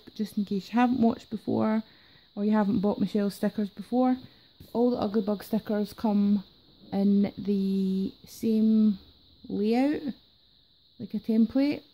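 Sick woman vlogger, gravelly and mellow, chatty unboxing at a table. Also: mid-range voice, poor audio. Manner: chatty, enthusiastic about items. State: sick, voice affected. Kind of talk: casual unboxing chat. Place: indoors at a table. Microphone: camera filming her hands from above.

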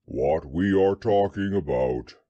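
A man voicing a gloomy, resigned old male donkey in a storybook reading, talking in a slow, sighing, mournful drawl. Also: deep voice, expressive, mellow, slightly rough, no room sound, highs cut off, voice pushed down, heavily acted. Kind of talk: storybook character voice.

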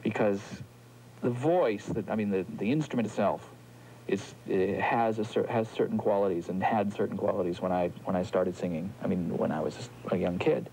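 Halting, gravelly middle-aged man. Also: lowish voice, warm tone, slight background noise, low-quality recording. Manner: halting, thoughtful, many restarts. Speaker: opera singer. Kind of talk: reflective interview talk.

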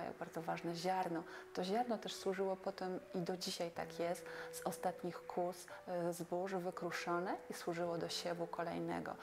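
A woman in her forties explains things in an unscripted interview style. Her voice sits on the low side with a clear creak, and her intonation stays fairly flat. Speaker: interviewed expert on folk customs. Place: indoor room.

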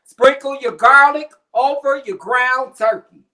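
A man's voice: very high voice, steady tone, a little slow, sharp tone. A middle-aged man on a video call from his home kitchen, demonstrating a recipe in a playful way, full of slang and puns. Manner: jokey, drops slang and puns. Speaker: cooking show contestant. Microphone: webcam on video call.

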